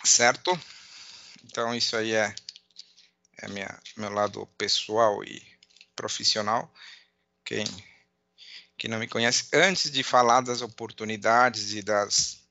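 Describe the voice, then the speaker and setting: A middle-aged man with a southern Brazilian border accent touched by Uruguayan, giving an informal online presentation in a halting way, repeating words and checking in often. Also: on the low side, fairly even intonation, creaky, sharp tone, dull audio, a little hiss or hum. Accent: southern Brazilian border accent with Uruguayan influence. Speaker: conference speaker, accountant and data analyst. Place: at home, indoors.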